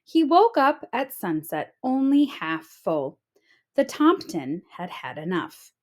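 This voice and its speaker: A woman narrates a children's picture book as a storyteller. Her voice is on the high side and very animated in pitch, and she reads at a slow, measured pace. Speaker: storyteller reading aloud.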